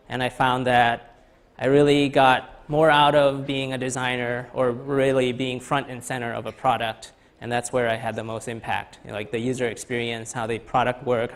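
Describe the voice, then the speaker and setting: A man from Thailand in his thirties giving a conference talk in a lecture hall, halting with many fillers and restarts. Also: mid-range voice. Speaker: guest speaker.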